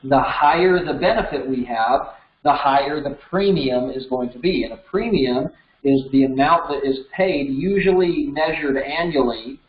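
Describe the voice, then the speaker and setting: A man's voice: medium pitch, moderate pace. An American man in his thirties giving an informal, conversational lesson, explaining things step by step. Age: 30s. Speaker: instructor. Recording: roomy echo, slightly dull sound.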